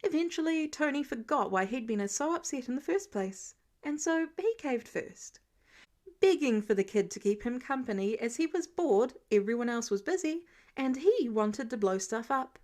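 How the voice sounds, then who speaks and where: A woman reading fiction aloud as an expressive, scripted audiobook-style narrator. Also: sits high, moderate pace. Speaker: podfic reader.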